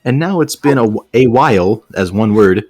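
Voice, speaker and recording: A man's voice: medium pitch, lively intonation, normal speed, darkish tone, no room sound, studio quality, faint music behind. A man doing a casual read-aloud for friends, breaking off into joking, sarcastic asides. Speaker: host reading fan fiction aloud with friends.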